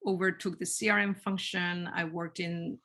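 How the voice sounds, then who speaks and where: A woman's voice: lowish voice, flat delivery, moderate pace, fairly bright tone. A woman in her forties from Spain, a marketing executive answering interview questions earnestly, often repeating words as she restarts. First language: Spanish. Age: 40s.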